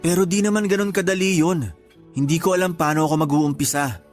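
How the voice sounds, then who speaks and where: A man's voice: a little high, fairly even intonation, quick pace, soft background music, close to an everyday voice. An actor playing a stubborn, principled bachelor in his thirties with a Filipino accent, answering defensively in a radio drama. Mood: firm, defensive. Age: 30s. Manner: defensive, terse, irritated replies. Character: stubborn, principled 31-year-old bachelor, homebody son.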